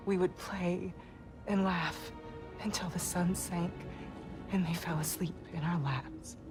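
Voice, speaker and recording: A middle-aged actress playing a proud, grieving Norse goddess and mother in a video game, intense and measured, with music behind her. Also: on the low side. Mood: grieving, pleading. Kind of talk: dramatic video game acting.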